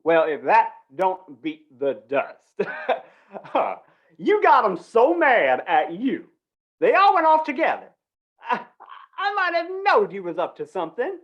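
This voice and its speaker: A woman's voice: medium pitch, wildly swooping pitch, slow pace, lo-fi sound. A woman playing a folksy, exclaiming country fair official in a radio western. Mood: astonished, amused. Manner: folksy rural phrasing, exclaiming. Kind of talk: old-time radio western acting.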